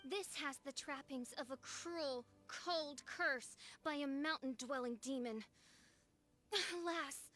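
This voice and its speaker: A woman's voice: very high voice, a bit bright. A woman playing a theatrical, gloomy young princess who speaks in florid, pompous, archaic phrases in a video game. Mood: grave, grandiose.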